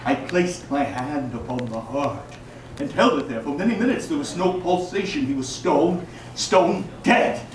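Casting: nervy, boastful mad killer in gothic monologue; high, swooping, melodramatic delivery, heard in an echoey room. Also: male voice, expressive, recorded from a distance. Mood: grim, intense. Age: older. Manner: melodramatic, emphatic, deliberate phrasing with sudden swells. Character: gleeful mad murderer, male, boastful, cunning, nervy. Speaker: actor giving a dramatic reading. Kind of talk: theatrical live recitation of gothic horror monologue. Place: small brick-walled cafe room, indoors. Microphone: camera a few metres away.

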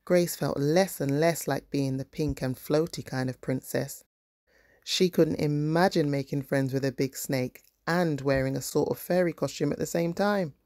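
A woman's voice: sits low, moderate pace, darkish tone, no echo, clean studio sound. A woman reading a children's picture book aloud as scripted narration.

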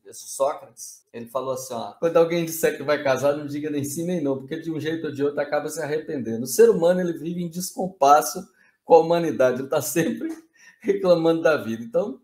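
A man's voice: on the high side, fast talker, a little mellow. A man in his thirties co-hosting a casual live stream, joking and dodging questions about his age. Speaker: co-host. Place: home room with bookshelves. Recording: roomy mic pickup.

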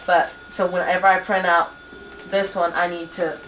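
A young woman patiently teaching word processing at a desk computer, with many pauses and ums, recorded on a 1990s camcorder in a small home office. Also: lowish voice, little pitch movement, fairly bright tone, rough recording. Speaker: computer instructor. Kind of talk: hands-on software tutorial. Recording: 1990s camcorder with faint hiss.

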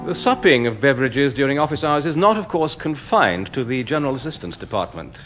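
An actor playing a formal, dry announcer, narrating a 1960s British radio comedy from a script. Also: male voice, mid-range voice, very animated, a little fast, over music, close to an everyday voice. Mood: formal, wry.

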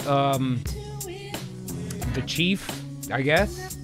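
A man hosting an improv show in casual, profane banter, halting as he composes and reads out loud. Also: mid-range voice, huge pitch swings, music in the background. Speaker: host of improv writing show. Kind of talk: casual banter, reading out as he types. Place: indoor home streaming setup.

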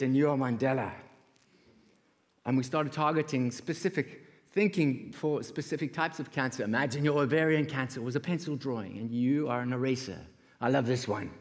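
South African man speaking in a reverberant hall. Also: fairly high pitch. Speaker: conference speaker, creative entrepreneur. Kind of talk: live stage talk, personal storytelling. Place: large indoor auditorium stage.

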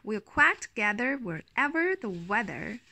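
A woman's voice: fairly high pitch, big pitch swings, measured pace, creaky, bright, forceful tone, exaggerated voice. A woman voicing a playful, childlike little duckling in a storybook, with a sing-song rhyming delivery. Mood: cheerful. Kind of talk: children's picture-book storytelling.

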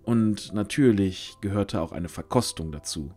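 A man serves as narrator of a scripted fantasy audio drama. His voice sits slightly on the low side, telling the tale over faint music. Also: a little slow, darkish tone.

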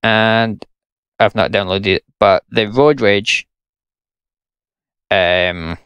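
A man's voice: fairly low pitch, fairly even intonation, drawn-out words, fairly bright tone, smooth, dry recording, dull audio. A young Scottish man giving blunt, sarcastic gameplay commentary and ranting about a farming game. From Scotland. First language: English. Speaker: gaming YouTuber.

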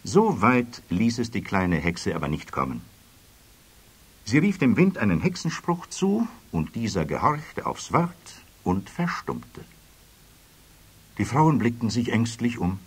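A man playing the narrator of a children's fairy tale, reading scripted storybook prose with expressive, dramatic phrasing. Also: fairly low pitch, unhurried, husky, exaggerated voice. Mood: suspenseful.

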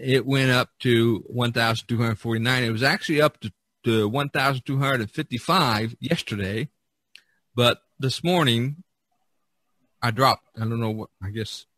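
A man hosts a casual podcast with a bright, forward voice and a very dry, close sound. He rambles in a folksy way, repeating himself as he reads out figures. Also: mid-range voice, no room sound. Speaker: podcast host. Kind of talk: casual chat, reading out figures.

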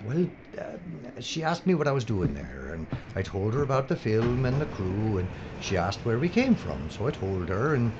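A man's voice: mid-range voice, sing-song, normal speed, natural voice. An actor playing an anxious, whiny, bumbling film crew man in an audio drama. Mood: defensive, panicky. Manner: flustered, whiny, babbling. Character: anxious bumbling male film crew member, easily flustered. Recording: dull, noisy audio drama recording.

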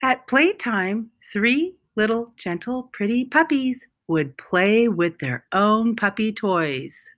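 A woman reading a children's picture book aloud as a storyteller, with deliberate, expressive phrasing and emphasized words. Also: fairly high pitch.

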